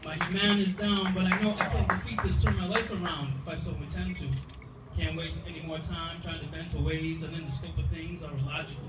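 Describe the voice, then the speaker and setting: A man's voice: on the high side, little pitch movement, fairly quick. A man giving a spoken word poetry reading with a rhythmic, rhyming delivery. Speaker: poet. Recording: echoey room from far off, loud noise.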